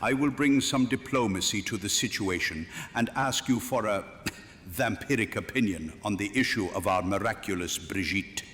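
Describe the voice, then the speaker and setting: An actor playing a proud, pious medieval king in a fantasy audio drama, formal, measured and haughty. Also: lowish voice, fairly bright tone, a little hiss or hum, close to an everyday voice. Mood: diplomatic. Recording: long hall reverb.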